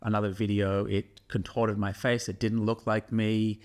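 A middle-aged man speaks to the camera in a studio, his voice sitting a little low. He explains things thoughtfully and keeps turning to ask his viewers what they think. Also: little pitch movement, moderate pace. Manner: thoughtful, asks viewers questions directly. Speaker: host and neuroscience professor. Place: studio in front of a brick wall.

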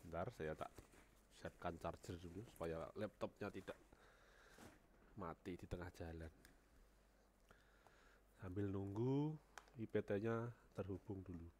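A man's voice: sits low, measured pace, long pauses, darkish tone, slight background noise. A man with a cold talking through a CAD modeling tutorial on a headset, thinking aloud and repeating numbers as he types them. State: has a cold. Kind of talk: screen-recorded software tutorial.

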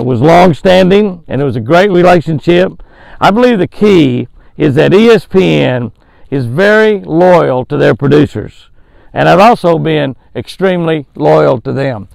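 An older Southern man with a gravelly, slightly high voice talks in a folksy, chuckling way, stretching words for emphasis. He hosts a fishing show, reading a short devotional and giving a bass tip. He is recorded outdoors by a lake, close to the camera, with a dry sound. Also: a little mellow, no echo, rough recording. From American South.

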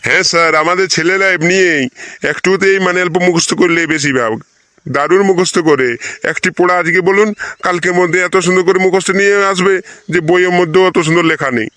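A man's voice: high-pitched, fairly even intonation, quick pace, fairly bright tone, no echo. A man voicing a bossy, proud village schoolteacher in a comedy cartoon, insistent and repetitive, with a rural West Bengal accent. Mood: proud, boastful. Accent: rural West Bengal (Bankura). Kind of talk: comic cartoon voice acting.

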